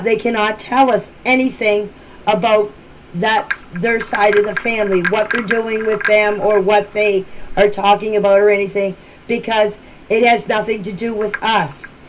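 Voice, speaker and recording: A woman giving informal explanations in voice messages, speaking in a plain, deliberate, matter-of-fact way. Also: a little high. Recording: noisy amateur voice-message recording.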